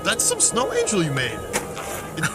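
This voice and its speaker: A gullible, tipsy driver in a radio drama. His voice is very high and swings wildly, stammering in disbelief. Loud music plays behind him. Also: huge pitch swings, normal speed. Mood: bewildered. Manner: stammering, incredulous.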